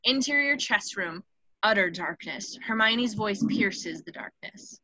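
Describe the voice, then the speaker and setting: Bright-voiced woman narrating a script. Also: medium pitch, animated, a little slow, dry recording, rough recording. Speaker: narrator reading stage directions.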